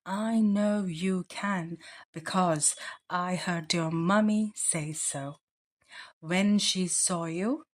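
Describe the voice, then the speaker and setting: Lady playing a loving daddy bear in a bedtime story, really slow, gentle and comforting. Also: on the low side. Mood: reassuring. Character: loving, reassuring father bear. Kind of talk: children's storybook read-aloud.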